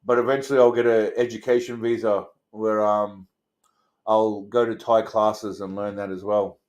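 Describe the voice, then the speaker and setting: A man's voice: lowish voice, little pitch movement, moderate pace, a little mellow, muffled recording. A man with an Australian accent hosting a casual livestream, rambling and swearing in a crude, blokey way as he talks to viewers. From Australia, Melbourne suburbs. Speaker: host of a livestream channel. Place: indoors.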